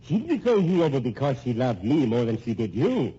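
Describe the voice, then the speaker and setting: An actor playing a smug, scheming husband in an old-time radio drama, full of stammering, mock-genial courtesy. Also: male voice, medium pitch, sing-song, normal speed, dark tone, breathy edge. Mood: gloating, controlling. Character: scheming, smug, sardonic husband playing everyone against each other. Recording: muffled, noisy old radio recording.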